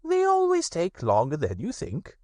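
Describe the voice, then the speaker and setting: A man doing a brisk, bossy rabbit. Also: sits high, huge pitch swings, mellow, no room sound, clean studio sound, pitched above the performer's own voice.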